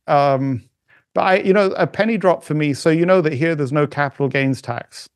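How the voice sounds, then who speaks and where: A middle-aged man talking as a podcast guest in casual conversation, halting with frequent restarts and fillers. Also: fairly high pitch, no room sound, studio quality. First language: not German.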